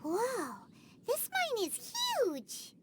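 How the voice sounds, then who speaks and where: A woman voicing a tiny, childlike fairy companion in a video game, curious and excitable with a squeaky voice. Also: drawn-out words, background noise, full character voice. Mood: excited.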